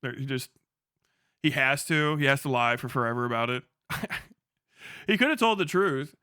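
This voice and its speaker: A man's voice: medium pitch, moderate pace, clear, resonant tone, no room sound, studio quality. A man streaming live, chatting casually, swearing constantly and breaking into laughter. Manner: profane, excitable, laughs a lot. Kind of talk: casual livestream chat.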